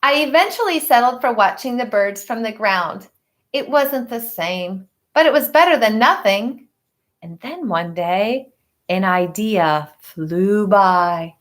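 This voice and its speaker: A woman reading a picture book aloud to children, expressively and with dramatic pauses, adding explanatory asides in a teacher's manner. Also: mid-range voice, animated.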